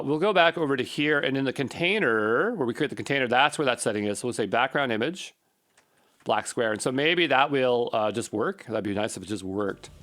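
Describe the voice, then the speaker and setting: A man's voice: medium pitch, fairly bright tone. An informal man presenting a coding tutorial in a mid-range voice. He talks through each step as he goes, often muttering to himself in a casual, thinking-aloud way.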